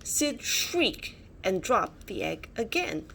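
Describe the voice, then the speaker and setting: A woman narrating a children's bedtime story as a storybook reader, in an animated style. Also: medium pitch, slow, creaky, a little hiss or hum.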